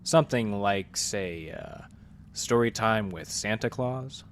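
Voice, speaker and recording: An actor playing a wry, hardboiled library detective in a noir radio drama, with dry, clipped delivery and first-person narration. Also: male voice, lowish voice, takes it slowly, slight background noise. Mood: dry, kindly.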